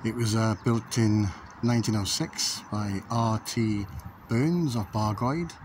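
A man casually narrating a walking tour, pausing with "uh" as he points things out, recorded on a handheld phone. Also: fairly low pitch. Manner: unhurried, pauses with "uh" while pointing things out. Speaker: video tour guide. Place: walking through a library and along a high street. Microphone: handheld phone camera. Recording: noisy phone recording with room ambience.

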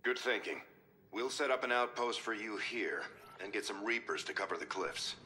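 An actor playing a brisk, commanding admiral in a video game briefing, formal and decisive. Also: male voice, lowish voice, sharp tone, slight background noise. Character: brisk, commanding male admiral giving battle orders.

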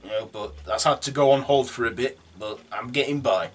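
A man's voice: medium pitch, a little fast, breathy edge. Rambling young man. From Yorkshire, England. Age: 20s. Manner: rambling, with restarts, reading questions aloud. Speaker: YouTuber. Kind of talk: casual tag video. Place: room at home, indoors.

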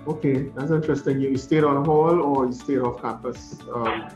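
Older man, halting conversational host, roomy sound, faint music behind. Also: mid-range voice, a little mellow, lo-fi sound. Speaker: university principal hosting a discussion. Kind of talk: informal moderated conversation. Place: office, indoors.

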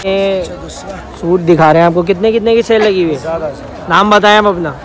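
A man's voice: high-pitched, normal speed, some chatter behind. A man with a Karachi Urdu accent vlogging in a busy outdoor street market, chatting with sellers and asking prices. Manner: chatty, friendly greetings to sellers. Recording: noisy crowded market.

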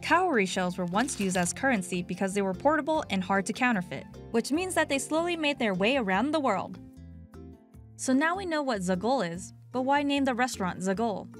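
Young woman narrating, bright higher voice. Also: lively intonation, a little fast, soft background music. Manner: clear, informative. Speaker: host and narrator. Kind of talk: scripted narration.

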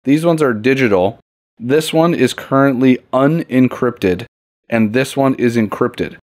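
A man's voice: medium pitch, a little slow, a little mellow, dry recording. A man hosting an instructional tutorial, explaining things step by step in an informal, plain-spoken way. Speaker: tutorial host. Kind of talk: casual instructional demo, step-by-step.

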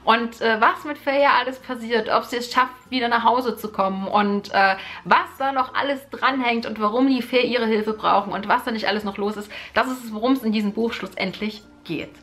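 A woman's voice: fairly high pitch, moderate pace, hardly any pauses, fairly bright tone. A young woman from Germany talking casually and enthusiastically about a book series, with lots of fillers and repeated words, in a living room close to the camera. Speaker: book vlogger. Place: living room in front of a sofa.

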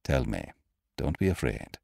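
A man playing a grave, composed doctor who questions firmly in a stern, measured, formal way for a storybook. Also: rumbling low, very animated, gravelly, a little mellow, no room sound, studio quality, heavily acted. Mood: grave, probing.

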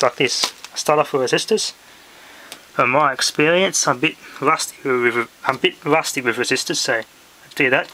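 A man's voice: on the high side, pushed and bright. Man's casual hobby demo, noisy handheld recording. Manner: informal, thinking aloud. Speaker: hobbyist presenter. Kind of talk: casual hands-on demonstration. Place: indoors in a home room. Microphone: handheld camera or phone. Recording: noisy handheld home video, clicks.

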